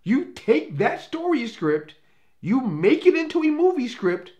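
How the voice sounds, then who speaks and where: A man's voice: very high voice, sing-song, unhurried, mellow. A man speaking off the cuff as a film reviewer, opinionated and emphatic, with casual swearing. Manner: profane. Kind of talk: casual unscripted review commentary.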